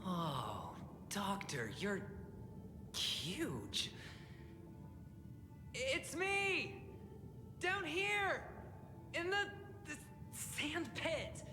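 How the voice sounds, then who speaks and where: Playful sly young gambler dude in a game, slow n theatrical, pitch all over, music behind. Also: male voice, big pitch swings, full character voice. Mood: startled, calling out. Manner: teasing, theatrical, musing. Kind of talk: video game voice acting. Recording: loud music under the voice.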